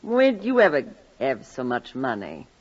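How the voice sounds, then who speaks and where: A woman's voice: a bass voice, huge pitch swings, unhurried, slightly rough, heavily acted. A brawny, scheming wife in a radio drama. She draws her words out slyly with big swings in tone. The sound is dull, like an old broadcast.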